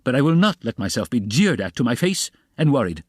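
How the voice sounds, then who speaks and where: A man's voice: medium pitch, animated, normal speed, no echo, clean studio sound, voice pushed up, close to an everyday voice. A man voicing a proud, feverish young man under suspicion in an audiobook, indignant, vehement and defiant. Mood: furious, defiant.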